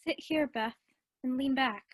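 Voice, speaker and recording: An earnest, helpful teenage big sister in a radio drama, played by a woman. Her voice is high and recorded close and dry. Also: unhurried, dry recording. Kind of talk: radio play acting.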